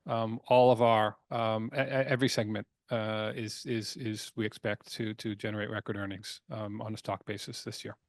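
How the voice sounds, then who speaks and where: A man speaking as a company chairman on an earnings call, explaining emphatically in a halting way, often repeating words and restarting. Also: fairly low pitch, little pitch movement, no echo, clean studio sound. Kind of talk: earnings call presentation, semi-scripted business talk.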